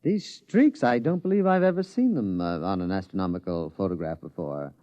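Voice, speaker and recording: An actor playing a naive, polite, bookish astronomer, hesitant and formal, in a 1950s radio drama. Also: male voice, deep voice, animated, brisk, warm tone. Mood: puzzled. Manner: hesitant, formal, mildly bewildered. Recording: dull 1950s radio recording.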